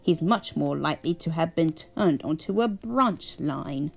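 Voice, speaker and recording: A woman's voice: sits low, lively intonation, measured pace, vocal fry, soft background music, exaggerated voice. A woman voicing a boastful, proud male steam engine who grumbles in a haughty way. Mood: huffy.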